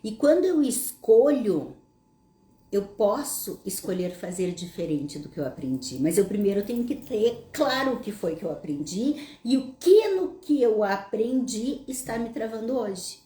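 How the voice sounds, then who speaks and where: Gaúcho-accented woman, mellow and roomy. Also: mid-range voice, very animated, a little slow. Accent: Gaúcho Brazilian Portuguese. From Brazil, Rio Grande do Sul. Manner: conversational, asks the audience rhetorical questions. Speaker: psychologist host answering audience questions. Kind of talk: casual explanatory monologue.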